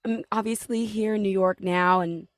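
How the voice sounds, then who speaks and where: A woman's voice: mid-range voice, steady tone, normal speed, no room sound. Effusive woman hosting, dry sound. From California, US. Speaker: podcast host. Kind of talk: casual chat interview.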